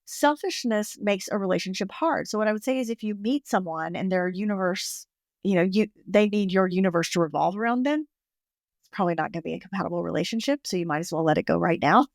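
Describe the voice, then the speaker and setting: A woman relationship therapist giving calm, practical explanations in a podcast interview. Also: mid-range voice, fast, no echo, clean studio sound.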